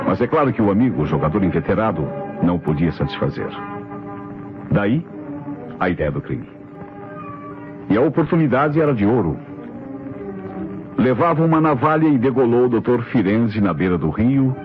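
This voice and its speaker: A Brazilian actor playing a shrewd, self-assured police inspector who lays out crimes theatrically in an old radio mystery. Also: male voice, fairly low pitch. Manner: theatrical, deliberate, accusing explanations. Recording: dull old radio recording, faint music.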